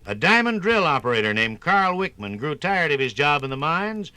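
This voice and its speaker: A man's voice: fairly high pitch, sing-song, normal speed, pushed and bright, clean tone, dry recording. A man narrating a scripted 1950s radio documentary in an emphatic, polished announcer's delivery. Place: radio studio.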